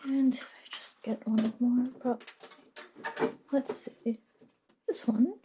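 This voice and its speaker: A woman playing a friendly, reluctant modern witch in a soft-spoken ASMR roleplay, casual, unhurried and slightly wry. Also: on the high side, fairly even intonation, heavy background noise. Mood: absorbed, murmuring. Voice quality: soft, hushed delivery.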